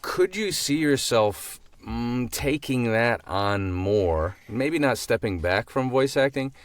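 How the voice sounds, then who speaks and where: A man hosting a casual podcast interview, halting as he thinks aloud. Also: mid-range voice, lively intonation. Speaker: podcast host.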